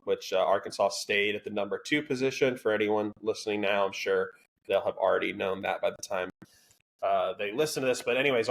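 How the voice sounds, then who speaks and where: A man co-hosting a casual college sports podcast, dry and sarcastic, with a self-described monotone delivery. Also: lowish voice. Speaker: podcast co-host.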